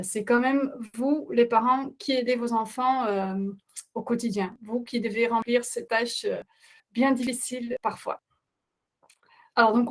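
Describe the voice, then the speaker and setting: Woman with a non-native accent, middle-aged, somewhat high voice, hesitant, earnest webinar host. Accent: non-native speaker of French. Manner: hesitant, many filler sounds, earnest. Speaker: parenting coach hosting a webinar. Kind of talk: webinar presentation.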